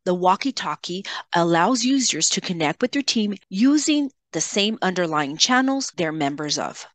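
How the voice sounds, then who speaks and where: A woman software trainer giving an instructional screen-share tutorial, explaining in a measured way and addressing learners directly. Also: lowish voice, lively intonation, no echo.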